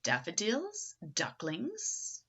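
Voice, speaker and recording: Very animated, unhurried woman reading a storybook. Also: mid-range voice, breathy edge. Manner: theatrical, addresses young listeners with questions. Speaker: picture book reader. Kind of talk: expressive children's story read-aloud.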